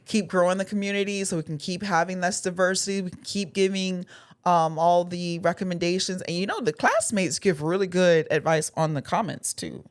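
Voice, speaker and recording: A woman's voice: lowish voice, fairly quick. A woman co-hosting a podcast in casual chat, talking earnestly and circling back over her words. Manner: earnest, rambling with many restarts and fillers. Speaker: podcast co-host.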